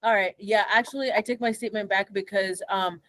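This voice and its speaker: A woman's voice: medium pitch, a little fast, no echo. A young woman with a Kenyan accent, co-hosting a podcast in casual chat, blunt and swearing freely as she rants. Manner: blunt, swears freely, animated when ranting. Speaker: podcast co-host.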